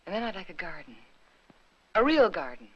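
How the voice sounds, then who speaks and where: An actress playing a devoted, anxious housewife in a 1950s Hollywood melodrama, speaking in a tender, earnest, placating way. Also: female voice, lowish voice, sing-song, fairly quick, slightly rough. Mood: wistful, hopeful. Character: devoted, anxious, gentle housewife soothing sick husband. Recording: noisy vintage 1950s film soundtrack.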